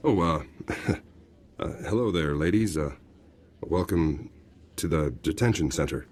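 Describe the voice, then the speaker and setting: An actor playing an awkward, hesitant prison guard officer in a video game, informal and bumbling. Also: male voice, extremely low pitch, unhurried, a little mellow. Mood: awkward, unsure.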